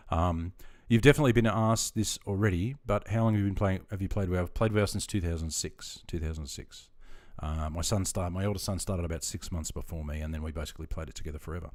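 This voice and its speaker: A middle-aged man from Sydney with an Australian accent, deep-voiced and chatty, talking casually with viewers on his gaming livestream. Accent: Australian, with some Queensland features. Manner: chatty, friendly, greets viewers by name.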